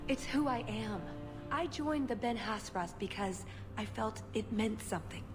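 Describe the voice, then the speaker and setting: A woman's voice: fairly high pitch, expressive, a little slow. A woman acting in a video game as an earnest, idealistic young believer who speaks sincerely and persuasively. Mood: earnest, reflective. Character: earnest idealistic young woman, devoted member of a strict order. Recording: game audio, long reverb, music underneath. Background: music.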